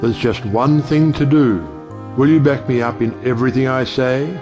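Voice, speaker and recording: An actor playing a wry, provocative middle-aged judge and uncle in an audio play, speaking in a rhetorical, oratorical way. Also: male voice, mid-range voice, normal speed, natural voice. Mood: persuasive, confident. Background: music.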